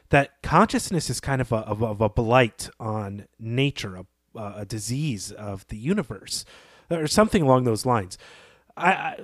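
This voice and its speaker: A man with an average-pitched voice co-hosts a literary podcast. He is reflective and measured, pausing mid-thought, recorded close with very little room sound. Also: dry recording. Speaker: podcast co-host.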